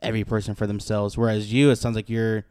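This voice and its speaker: A man's voice: on the low side, little pitch movement, fast talker, darkish tone, clean tone, no echo, studio quality. A man hosting a podcast with a lighter voice, asking curious, joking questions with lots of fillers. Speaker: podcast host. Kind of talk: casual interview talk.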